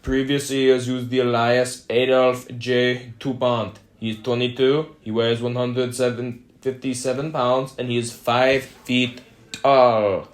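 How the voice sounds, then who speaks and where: Flat, formal young male newsreader. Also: medium pitch, a little slow. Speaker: co-host and newsreader of a mock radio broadcast. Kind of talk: scripted newsreading, amateur mock 1927 radio broadcast.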